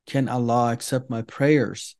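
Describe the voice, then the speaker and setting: A middle-aged man from Iran, a native Farsi speaker, giving an earnest personal testimony as an interview guest, speaking deliberately with many pauses and fillers. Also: mid-range voice, fairly even intonation, darkish tone.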